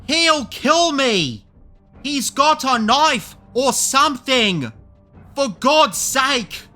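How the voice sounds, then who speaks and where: A man's voice: extremely high pitch, expressive, very slow, sharp tone, soft background music, voice pushed up, exaggerated voice. A man voicing a terrified, hunted man in an audiobook, frantic, wailing and pleading. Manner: shrieking, wailing, desperate. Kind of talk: dramatised audiobook character voice.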